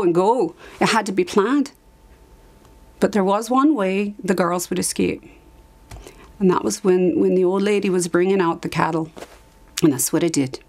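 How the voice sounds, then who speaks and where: An actress plays a wounded woman survivor recalling cruelty in a confessional dramatic monologue. Her voice sits at a middle pitch. She speaks haltingly, pressing on repeated phrases with hurt and defiance. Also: close to an everyday voice. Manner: halting, emphatic repetition, sudden drops to whisper. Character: anguished woman survivor of an Irish Magdalene asylum, recalling abuse.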